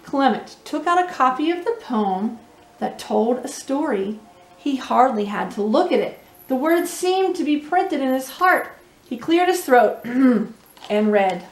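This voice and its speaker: Lady with a high voice doing kids storytime, expressive, kinda echoey room. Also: a little slow. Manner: expressive, addresses young listeners with questions. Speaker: storytime host reading to children. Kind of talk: children's read-aloud storytelling. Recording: roomy, echoey indoor sound.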